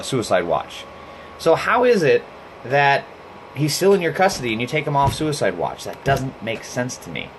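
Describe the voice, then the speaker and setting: A man's voice: fairly low pitch, a little hiss or hum, low-quality recording. A man from Costa Rica hosting a live stream, talking bluntly and heatedly in a casual monologue, swearing freely and often restarting his sentences.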